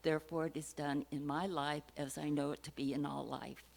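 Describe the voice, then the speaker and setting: A woman leading a slow, solemn spoken prayer at a church service. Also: low voice, little pitch movement, vocal fry. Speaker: prayer practitioner.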